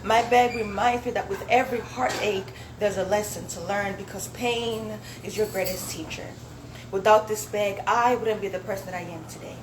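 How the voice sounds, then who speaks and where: A woman's voice: mid-range voice, fairly even intonation, moderate pace. Young woman, African accent, native Swahili speaker, live spoken word poet, echoey room, noisy recording. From Zambia, later the United States. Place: indoor dinner event with audience. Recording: echoey room with loud background noise.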